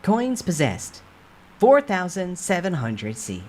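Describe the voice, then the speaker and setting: A woman voicing an impersonal game-like system announcer, formally reading out stats in measured phrases. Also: on the low side, huge pitch swings, mellow, exaggerated voice.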